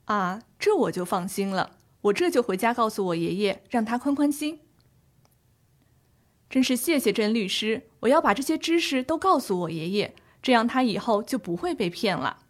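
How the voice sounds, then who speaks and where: A woman's voice: a little high, sing-song, normal speed. A woman playing an earnest, concerned grandchild seeking legal advice in a scripted educational audio drama. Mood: relieved, grateful.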